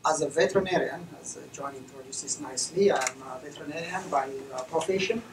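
A middle-aged man from Ethiopia, a veterinarian, giving measured, hesitant comments as a discussant at an academic conference. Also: fairly high pitch, recorded from a distance. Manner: measured, hesitant, many fillers. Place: lecture room. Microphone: distant camera mic. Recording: echoey room recorded from afar, noisy.

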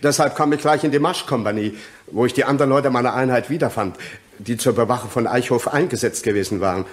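A man's voice: mid-range voice, natural voice. Man acting as sober, earnest court witness. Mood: earnest, explaining. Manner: sober, deliberate, respectful. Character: earnest male former soldier testifying in court. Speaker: actor playing a witness. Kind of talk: German radio crime drama acting.

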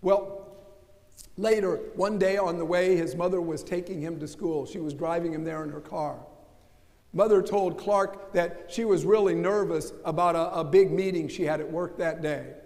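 A man's voice: on the high side, normal speed, darkish tone. An older American man preaching a sermon from the pulpit, emphatic and asking rhetorical questions. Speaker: pastor. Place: large church sanctuary. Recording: long church reverb.

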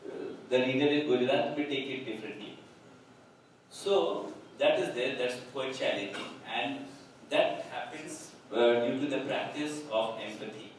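A man's voice: mid-range voice, normal speed, distant mic, slight background noise. A male author whose first language is Hindi, chatting and joking on a literature festival panel. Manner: chatty, jokes with the audience. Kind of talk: panel discussion. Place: large hall on a festival stage. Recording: echoey hall, mic from afar.

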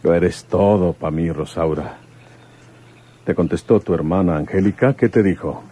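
Radio soap role: devoted country commissioner, low mellow voice, earnest. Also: male voice, moderate pace, slightly rough. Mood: tender, earnest. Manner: plain-spoken, tender. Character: older rural police commissioner, earnest devoted suitor. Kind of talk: Spanish-language radio soap opera acting.

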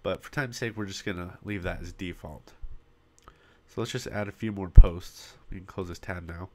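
Hoarse man narrating a software tutorial. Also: low-pitched, fairly even intonation. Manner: casual step-by-step narration with frequent pauses. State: losing his voice, coughing. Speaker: web design tutorial host. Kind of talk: screen-recorded software walkthrough. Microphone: desktop mic with popping.

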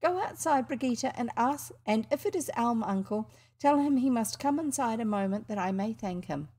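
A woman's voice: a little high, expressive, moderate pace, warm tone, pitched above the performer's own voice. Woman doing a trembling blind old grandmother. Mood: overjoyed. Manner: trembling, exclaiming.

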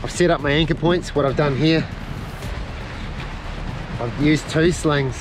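A man's voice: a little high, a little slow. A man, filming himself as he scrambles along sea cliffs and talks through each move, a little short of breath. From New Zealand. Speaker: outdoor adventure vlogger. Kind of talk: self-filmed commentary while climbing. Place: outdoors on a windy coastal cliff. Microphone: head-mounted action camera. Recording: wind noise on an action camera.

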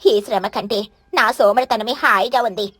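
A woman's voice: fairly high pitch, normal speed, a bit bright, no room sound. A woman voicing a lazy, stingy mother crow in a children's story, with a complaining, wheedling manner. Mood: teasing grumble.

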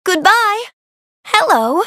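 Young storybook princess, game character, gentle and eager, unhurried delivery, dry close studio sound. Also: female voice, very high voice, very animated, no room sound, full character voice. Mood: cheerful greeting. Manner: polite, gentle, wonder-filled exclamations. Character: kind, bookish young fairy-tale princess, warm and eager. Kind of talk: video game character voice clips.

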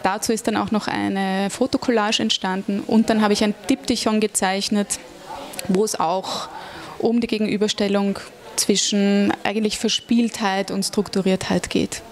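A woman's voice: mid-range voice, moderate pace. A woman in her forties, an artist speaking evenly and a little hesitantly about her work into a handheld mic in a gallery. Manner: even, slightly hesitant. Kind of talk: talking about her artwork. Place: gallery room.

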